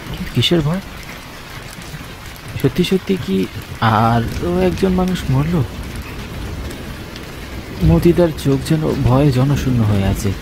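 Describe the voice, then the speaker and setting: A man's voice: medium pitch, vocal fry. A man narrating a scripted horror story in the first person, with dramatic, suspenseful delivery. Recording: constant rain and thunder noise behind. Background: rain and thunder sound effects.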